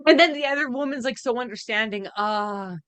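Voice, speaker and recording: A woman's voice: fairly high pitch, brisk, a bit bright, clear, resonant tone. A woman co-hosting a podcast in a casual, chatty reaction style. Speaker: podcast co-host.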